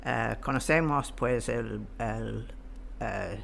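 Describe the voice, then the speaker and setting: A woman's voice: a bass voice, extremely slow, vocal fry, a little hiss or hum. An elderly woman speaking as an interview guest, reflective and careful, often searching for her words. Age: 70s or older. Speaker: interview guest, expert on local British community.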